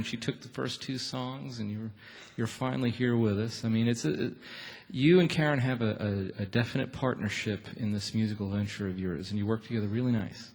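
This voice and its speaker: A man works as a radio host in a casual live interview on stage. His mid-pitched voice has a slightly husky edge. He is joking and a little halting as he talks. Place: on a theatre stage.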